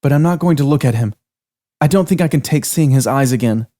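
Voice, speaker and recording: Man putting on a shaky scared young waitress, high and teary. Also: a little fast, warm tone, close to an everyday voice. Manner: high, quivering and tearful. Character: frightened young woman waitress, fragile, sobbing.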